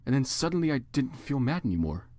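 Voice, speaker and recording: A man's voice: mid-range voice, sing-song, fast, darkish tone. A man giving a theatrical, mock-serious dramatic reading of fan fiction as the narrator. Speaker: reader.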